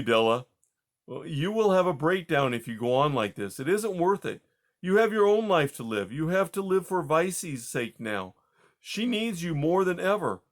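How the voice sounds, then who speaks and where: Calm, firm husband, read by a man; measured and quietly patronizing, high-ish voice. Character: calm, firm husband consoling his wife.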